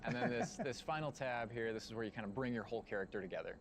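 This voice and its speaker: A man presenting a game showcase, explaining features quickly and with fillers. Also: mid-range voice, heavy background noise. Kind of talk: guided game showcase talk.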